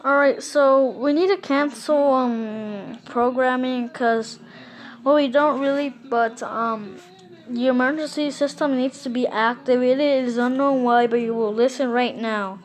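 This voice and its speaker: A woman improvising a scary story in a casual, halting and repetitive way. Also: high-pitched, fairly even intonation.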